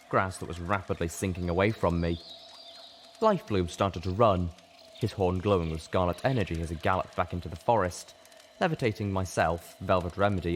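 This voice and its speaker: A man reading a story aloud as a dramatic, intense audiobook narration. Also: sits low. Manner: intense, theatrical. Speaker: reader of a fan fiction story. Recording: clearly noisy background.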